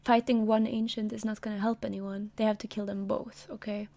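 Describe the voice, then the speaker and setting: A woman's voice: mid-range voice, little pitch movement, a little fast, creaky, dark tone. A woman streamer chatting casually between comic readings, jokey and giggly.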